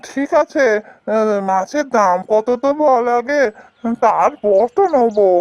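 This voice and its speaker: Woman playing a muffled, high-voiced poor boatman. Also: lively intonation, slow, darkish tone, no echo, faint music behind. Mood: friendly. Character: poor, worried, kindly village boatman. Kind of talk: Bengali fairy-tale cartoon dialogue.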